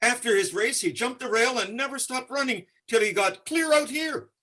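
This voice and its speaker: A man playing a polite, excitable, flustered jockey in a comic radio play. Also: very high voice, moderate pace, full character voice. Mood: apologetic. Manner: excitable, apologetic. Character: flustered, polite jockey thrown from his horse. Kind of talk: comic old-time radio play acting.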